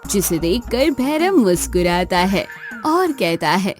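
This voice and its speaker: A woman's voice: mid-range voice, big pitch swings, slow, soft background music. A woman working as a narrator, reading a scripted moral story.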